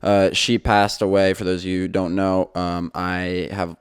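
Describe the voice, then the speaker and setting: Deep, flat-voiced male podcast host. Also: unhurried, clean tone, dry recording, clean studio sound. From England. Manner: easygoing, rambling, with many fillers and restarts. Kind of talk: casual solo podcast talk.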